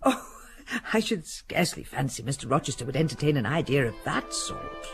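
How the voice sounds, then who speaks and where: A chatty old housekeeper. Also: female voice, extremely low pitch, sing-song, brisk, music in the background, heavily acted. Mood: gossiping. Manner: bustling, chattering. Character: fussy, chatty older female housekeeper. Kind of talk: radio drama acting.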